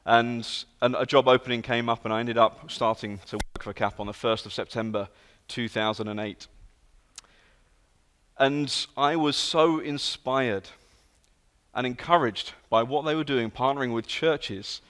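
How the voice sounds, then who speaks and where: British guest preacher, 30s, London accent, earnest storyteller, echoing church hall. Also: male voice, mid-range voice, unhurried. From England. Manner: earnest, storytelling, lively emphasis. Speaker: guest speaker. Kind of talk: sermon. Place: large church sanctuary. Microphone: handheld and stage mic. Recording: long hall reverb.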